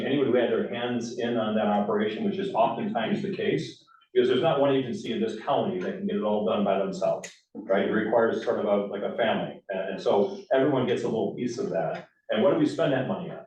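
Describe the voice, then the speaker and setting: A man's voice: lowish voice, darkish tone. A man in law enforcement explaining things to a public meeting, conversational, with rhetorical questions. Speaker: law enforcement official presenting. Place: large town meeting hall. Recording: echoey meeting hall, far mic, dull.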